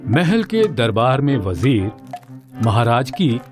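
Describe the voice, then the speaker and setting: A man tells a scripted story for children. His delivery is slow with lively intonation, and music plays behind him. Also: medium pitch. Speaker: narrator. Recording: music under the voice.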